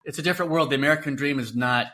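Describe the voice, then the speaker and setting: A man's voice: fairly high pitch, very fast, sharp tone. Middle-aged male co-host, casual, affirming, lots of "yeah". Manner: agreeable, frequent "yeah" backchannels. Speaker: podcast co-host. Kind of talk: casual chat.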